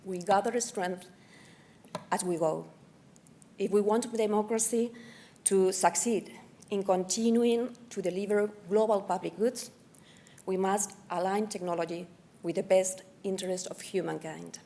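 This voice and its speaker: A woman's voice: medium pitch, fairly even intonation, measured pace, dark tone. A woman hosting an event, giving formal remarks and introducing the speaker, with small slips. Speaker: event host.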